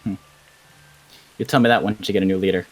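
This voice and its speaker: A man's voice: low-pitched, fairly even intonation, fast, faint music behind, lightly acted, rough recording. A man playing a menacing, scornful rival mage who taunts and dismisses others in a fantasy game roleplay. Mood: threatening. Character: menacing, scornful male rival guild mage, sneering bully. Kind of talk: improvised Minecraft fantasy roleplay.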